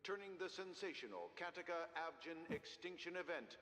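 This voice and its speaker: Pompous game newsreader, formal, highish and lively, long reverb. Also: male voice, moderate pace. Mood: proud, official. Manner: declamatory, official. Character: formal, pompous male news announcer.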